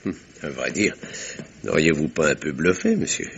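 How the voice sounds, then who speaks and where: A weary village doctor in an old radio mystery, deep voice, formal, muffled recording. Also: male voice, expressive, vocal fry, a little hiss or hum, full character voice. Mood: guarded doubt. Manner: measured, formal, understated. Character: male village doctor, guarded, weary, quietly bitter murderer. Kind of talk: 1950s French radio drama acting. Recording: dull, muffled vintage radio sound.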